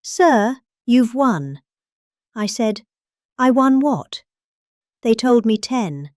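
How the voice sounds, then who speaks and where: A woman's voice: on the high side, big pitch swings, extremely slow, no echo, clean studio sound. A middle-aged woman with a British accent, giving a dramatic reading of a political speech. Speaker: reader.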